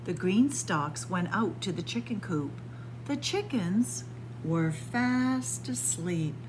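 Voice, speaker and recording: A middle-aged woman reading a picture book aloud to children in a library, playful and animated. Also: fairly low pitch, takes it slowly, background noise. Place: library, in front of bookshelves. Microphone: camera at close range.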